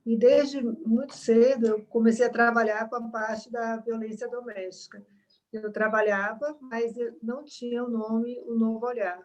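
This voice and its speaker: Earnest dermatologist woman, fairly high voice, reflective pauses on a call. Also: flat delivery, darkish tone, low-quality recording. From Salvador, Bahia, Brazil. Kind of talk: speaking on a video call. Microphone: video call.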